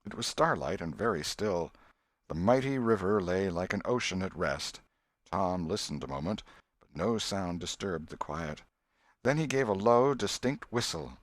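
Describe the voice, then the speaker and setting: Male audiobook narrator, deep register, measured and expressive, close dry sound. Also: no room sound. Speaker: audiobook reader. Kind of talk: scripted narration of a classic novel.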